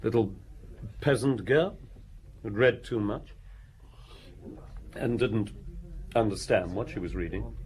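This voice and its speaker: An actor playing an idle, snobbish playboy in a radio drama, with an offhand, clipped, sardonic way of talking. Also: male voice, lowish voice, takes it slowly, long pauses, breathy edge, heavily acted, rough recording. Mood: cool, sardonic.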